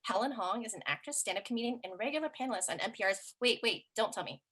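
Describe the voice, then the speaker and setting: A woman host introduces the next comedian at a comedy night. Her voice is bright and sharp. She sounds upbeat and drops in a few ums along the way. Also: a little high, expressive, fast, slightly rough. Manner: upbeat, with fillers. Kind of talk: introducing performers.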